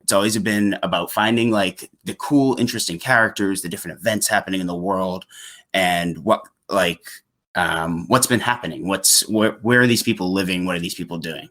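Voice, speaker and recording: A young man with a low, deep-set voice talks casually as a podcast guest. His delivery is conversational and informal, like one gamer chatting with another. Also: moderate pace, a bit bright.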